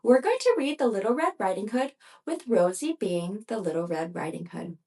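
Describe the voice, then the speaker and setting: A woman reading a fairy tale aloud for children as the host of a storytime. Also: medium pitch. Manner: slow, lively storybook reading. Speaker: storyteller and ventriloquist.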